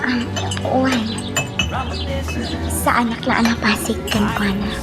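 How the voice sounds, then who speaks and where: Actress as a hesitant, high-voiced young woman. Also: steady tone, loud music behind the voice, natural voice. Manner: hesitant, halting. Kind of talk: Ilocano radio drama acting.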